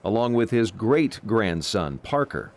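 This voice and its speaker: American guy doing tv news story voiceover, animated delivery, bit of noise behind him. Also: on the low side, measured pace. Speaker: TV news reporter. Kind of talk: scripted news feature narration.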